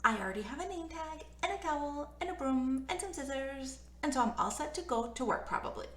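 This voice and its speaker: A woman voicing a cheeky, excitable, stubborn little girl from a children's storybook, with emphatic childish phrasing. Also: high voice, brisk, voice pushed up. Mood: delighted, boastful. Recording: roomy, echoey room sound.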